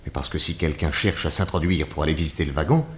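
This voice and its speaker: A shrewd, deep-voiced detective. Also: male voice, quick pace, a bit bright, slightly rough, exaggerated voice. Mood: calm, probing. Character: shrewd calm male detective, wry. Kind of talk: radio drama acting.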